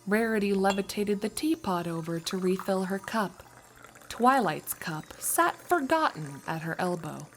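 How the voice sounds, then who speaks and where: A woman's voice: mid-range voice, animated, a little slow, faint music behind. A woman reading scripted story narration as the narrator of a fan fiction audio drama.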